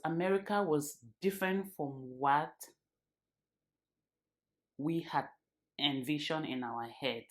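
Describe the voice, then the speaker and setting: A woman in her thirties from Cameroon, talking earnestly to her channel's viewers and repeating phrases for emphasis. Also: deep voice. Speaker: vlogger. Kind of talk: casual talk to camera.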